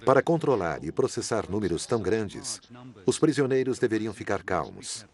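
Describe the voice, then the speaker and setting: A male documentary narrator speaks in a low voice. His scripted delivery is measured and grave. Each sentence is set down evenly and deliberately. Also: very animated.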